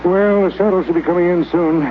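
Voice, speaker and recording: An actor playing a middle-aged station chief who narrates, speaking in a dry, measured, gruffly kind way in 1950s radio drama style. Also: male voice, sits high, fairly even intonation, a little hiss or hum, close to an everyday voice. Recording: tinny old radio broadcast.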